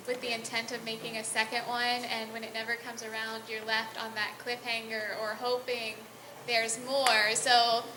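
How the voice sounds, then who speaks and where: Higher-voiced woman in an echoey hall. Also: noisy background. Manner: animated, chatty, playful. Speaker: voice actress panelist. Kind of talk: casual convention Q&A answer.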